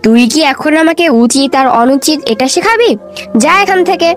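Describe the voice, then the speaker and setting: Lady doing a snippy greedy shop auntie from a cartoon, high voice, dismissive. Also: animated, moderate pace, soft background music. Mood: irritated. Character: curt, grasping female village shopkeeper. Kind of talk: Bengali children's cartoon voice acting.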